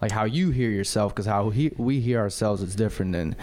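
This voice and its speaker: A man's voice: lowish voice, fairly quick, darkish tone. Man asking long rambling questions. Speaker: podcast host. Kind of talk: casual chat.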